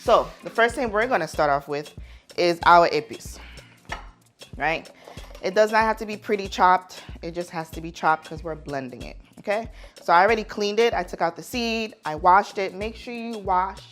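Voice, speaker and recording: A woman's voice: lowish voice, normal speed, faint music behind. A playful, jokey woman from Haiti, raised in New York, casually hosting a cooking tutorial and often checking in with the viewer. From born in Haiti, raised in New York, United States. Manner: repeats words for emphasis and checks in with "right?" and "okay?". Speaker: chef and cooking show host.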